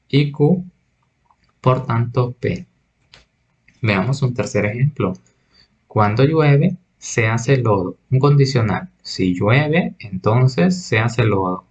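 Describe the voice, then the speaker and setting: A man's voice: medium pitch, muffled recording. A man teaching a recorded logic lesson, patiently reading examples and explaining them step by step. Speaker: math teacher.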